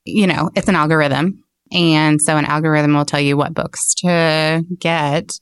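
A woman's voice: sits low, steady tone, a little slow, clear, resonant tone, no echo, clean studio sound. A woman with an American accent explaining things casually as a shop owner being interviewed. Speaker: bookstore owner, interview guest.

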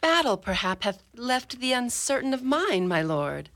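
A woman playing a devoted, anxious wife in an amateur Shakespearean radio drama, speaking in a measured, earnest manner. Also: fairly high pitch, sing-song, fairly bright tone, heavily acted. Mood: tender, weary. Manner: measured, earnest archaic delivery.

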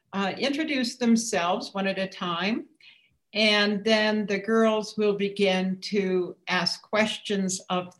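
A woman hosting a community radio program, speaking in a measured way. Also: mid-range voice. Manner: slow, measured. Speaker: radio show host.

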